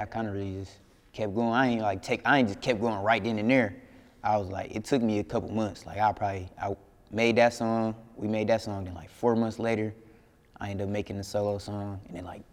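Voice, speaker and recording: A young man from Flint, Michigan, a rapper telling stories in a casual interview, laid-back and slangy, often trailing off quietly. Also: fairly low pitch, steady tone, brisk, big-room sound. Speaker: rapper guest. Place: indoor interview room.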